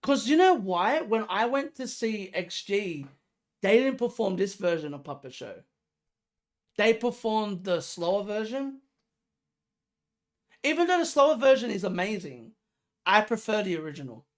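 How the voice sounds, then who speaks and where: An excitable reaction host talking casually and exclaiming over a song, with mild swearing and a creaky voice. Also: mid-range voice, unhurried, long pauses. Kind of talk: casual music reaction commentary. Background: pop music playing.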